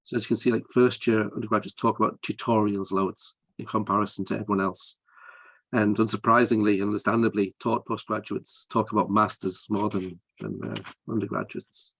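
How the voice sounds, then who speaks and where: A man's voice: fairly low pitch, level tone, fairly quick, fairly bright tone, slightly rough, no echo, rough recording. A man presenting research findings at a conference, explaining his methods step by step with frequent hesitations.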